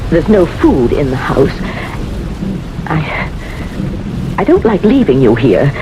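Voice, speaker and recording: A woman's voice: sits low, very animated, takes it slowly, heavily acted. An actress playing a timid older woman living alone in an old-time radio drama, hesitant, polite and easily flustered. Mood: nervous, flustered. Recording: noisy, hissy old radio recording.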